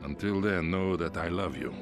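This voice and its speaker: An actor playing a thoughtful Soviet officer, gently reading a letter to his wife. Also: low-pitched, normal speed, over music. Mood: tender. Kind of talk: dramatic letter reading, video game.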